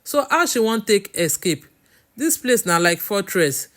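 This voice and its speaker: A woman's voice: mid-range voice, very animated, measured pace. A woman voicing a stern, bullying male farm owner in a storytelling, with a Nigerian accent and a scolding manner in Pidgin. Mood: boastful, assured.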